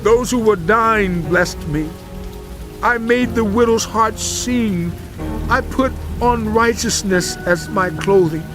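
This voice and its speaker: An actor playing a suffering righteous man in a dramatized audio Bible, with solemn, impassioned, measured delivery. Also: sits high, music in the background. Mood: proud, wistful.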